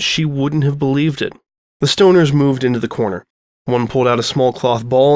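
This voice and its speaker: Male storyteller, medium pitch, expressive scripted narration, very dry recording. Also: no echo.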